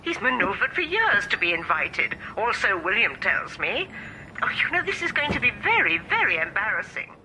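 A woman's voice: mid-range voice, very animated, normal speed, pushed and bright, a little hiss or hum. An actress playing a flustered, grand society lady calling over the telephone in a radio drama, gushing and fretful. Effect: telephone line.

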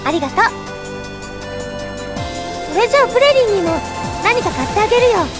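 A cheery anime girl, super high voice, breezy n teasing, audio drama w music under it. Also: sing-song, fairly quick. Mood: cheerful. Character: upbeat, casual, kind young girl. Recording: studio drama with music behind.

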